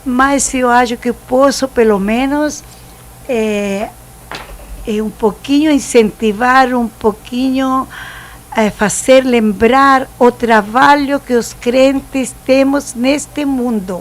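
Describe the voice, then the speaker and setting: Slow elderly Chilean-accented woman, halting. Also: on the high side, steady tone. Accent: Chilean Spanish. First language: Spanish. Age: 70s. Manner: halting, searching for words with frequent "eh" and Spanish slips. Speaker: missionary guest. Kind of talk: preaching testimony.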